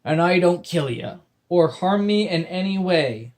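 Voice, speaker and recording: A man voicing a short, grumpy male pirate with a wooden leg, speaking in a gruff, drawling pirate dialect. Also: sits high, expressive, a little slow, a little mellow, voice pushed up.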